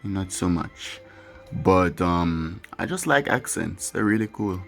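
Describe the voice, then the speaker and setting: A man with a deep, low-pitched voice and a lilt talks through a game session in a loose, wandering way. He is candid and drops the odd curse as he goes. His own music plays faintly underneath. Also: expressive, slow pace, creaky. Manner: rambling, candid, swears casually. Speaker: gaming channel host. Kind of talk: casual gameplay commentary. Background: his own music playing faintly.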